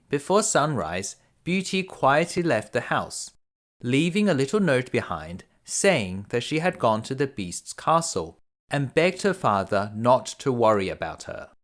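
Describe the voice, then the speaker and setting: A man reading a children's fairy tale aloud as narrator, in an expressive storytelling manner. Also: mid-range voice. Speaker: bedtime story reader.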